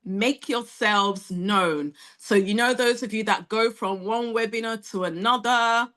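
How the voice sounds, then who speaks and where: A woman's voice: fairly high pitch, a little slow, fairly bright tone. A woman presenting an online career webinar, talking straight to her audience in a direct and encouraging way. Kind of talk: motivational webinar talk.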